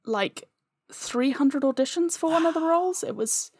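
A woman's voice: high voice, animated, moderate pace, a little mellow, dry recording, studio quality. A woman explaining her creative process earnestly and conversationally, as a guest in an interview. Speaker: podcast guest, audio drama writer.

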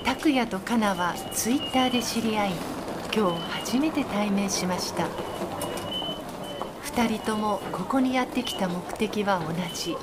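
A woman's voice: mid-range voice, unhurried. A woman reading scripted narration as the narrator of a radio drama. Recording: clear background noise and ambience.

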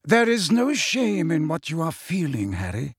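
A man voicing a calm, kindly, grave old wizard headmaster in an audiobook, speaking in a quiet, measured way. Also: on the high side, breathy edge, dry recording, studio quality, heavily acted. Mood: gentle, grave. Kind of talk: audiobook character voice.

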